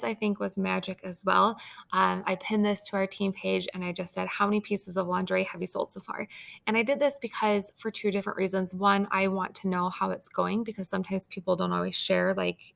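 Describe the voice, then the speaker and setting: Brief: female team leader, chatty and upbeat, strong gravelly fry, slightly scratchy throat. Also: medium pitch, a bit bright. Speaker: team leader of sales consultants. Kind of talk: casual training talk.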